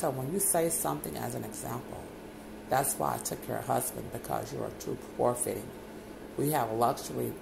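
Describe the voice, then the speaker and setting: Deeply gravelly voice in rambling prayer. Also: background noise. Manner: repeatedly calls out "Lord God" between loose, wandering phrases.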